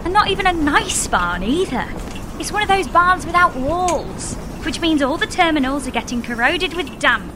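A woman's voice: high-pitched, big pitch swings, moderate pace, background noise. A woman playing an exasperated, sardonic space traveller in a sci-fi audio comedy, incredulous and dry in manner. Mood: exasperated incredulity.

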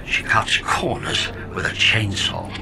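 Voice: slow pace, music in the background, natural voice. An actor playing a chatty pod AI that lives in a woman's head, cheerfully wry and theatrical, in a sci-fi audio comedy. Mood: catty.

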